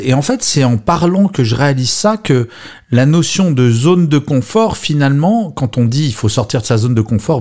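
A man's voice: mid-range voice, darkish tone. A man with a European French accent hosting an informal discussion, chatty and playful, with emphatic phrasing. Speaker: host, moderator. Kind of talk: informal panel moderation.

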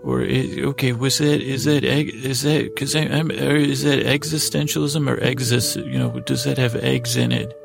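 A man hosting a bedtime podcast in a lulling, soothing, creaky voice, meandering and trailing off mid-thought. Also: medium pitch, steady tone, normal speed, hardly any pauses, music in the background. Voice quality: very creaky, gravelly. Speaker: podcast host. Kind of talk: rambling bedtime-story podcast monologue.

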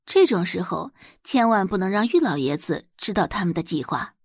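A woman's voice: on the low side, very animated, normal speed, breathy edge, no echo, studio quality. A woman giving dramatic scripted narration as the narrator of an audio drama.

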